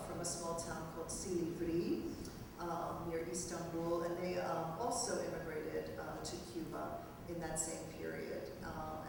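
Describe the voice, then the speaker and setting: A woman from New York reminiscing at a panel in a reflective, halting way with pauses. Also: fairly low pitch, vocal fry. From New York, Cuban immigrant family. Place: large reverberant room at a live panel. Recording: echoey hall, distant mic, noisy.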